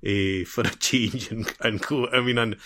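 A man with a fairly low voice talks football as a podcast co-host. He is casual and rambling, restating phrases while he works toward his point, with a wry, teasing edge. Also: fairly even intonation, measured pace, sharp tone. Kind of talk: casual sports chat.

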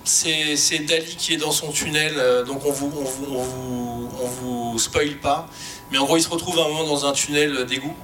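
A man speaking informally and spontaneously as a panelist, explaining technical work with halting, repeated words. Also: flat delivery, music in the background. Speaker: sound fiction creator on an expert panel. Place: panel discussion before an audience. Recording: faint rumbling background noise.

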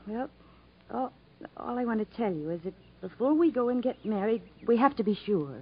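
A cautious, earnest young sweetheart in old radio drama. Her voice is fairly high with a breathy, husky edge, hesitating as she gently presses her point. The sound is dull and vintage. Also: expressive, normal speed. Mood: anxious, insistent. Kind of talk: 1950s American radio drama acting. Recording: dull 1950s radio broadcast recording.

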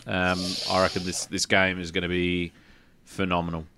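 A man co-hosting a casual gaming discussion, talking in an articulate, measured way with some emphasis. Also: sits low, completely flat delivery, heavy background noise. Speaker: podcast co-host.

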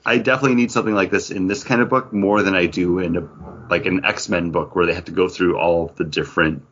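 A man's voice: sits low, fairly even intonation, fast, fairly bright tone. A man co-hosting a podcast in casual chat, talking in a rambling way with lots of fillers. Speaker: podcast co-host. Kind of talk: casual chat about comics and beer. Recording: dull lo-fi sound.

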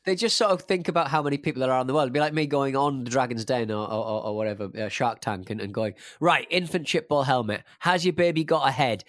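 A man's voice: medium pitch, quick pace, hardly any pauses, a bit bright, dry recording, studio quality. A man in comic podcast chat, rambling along in a silly, self-mocking way. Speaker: podcast panellist.

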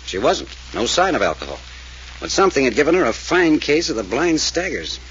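An actor playing a wisecracking male amateur detective in a 1940s radio drama, questioning in a brisk, wry, probing manner. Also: a little high, very animated, fairly bright tone. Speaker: detective figure. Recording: dull old radio recording with faint hiss.

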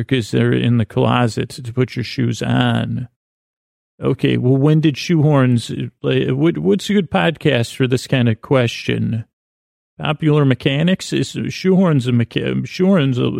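Very creaky man, meandering podcast monologue. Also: medium pitch, darkish tone, no echo, studio quality. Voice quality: creaky, gravelly. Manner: halting, meandering, self-interrupting. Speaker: podcast host. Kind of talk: rambling comedic monologue for a sleep podcast.

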